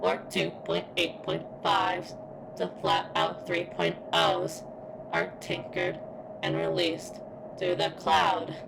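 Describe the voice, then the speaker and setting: A woman playing a sentient robot girl in an ASMR roleplay, speaking haltingly and deliberately as if in constant pain, earnest and devoted. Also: low-pitched, creaky, background noise, heavily acted. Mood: pained, brooding.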